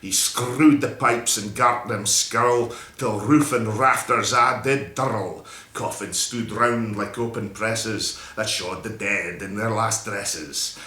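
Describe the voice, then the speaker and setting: A man's voice: lowish voice, pushed and bright, roomy sound. A man with a Scottish accent giving a theatrical, emphatic recitation of a narrative poem in broad Scots dialect. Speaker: poetry reader.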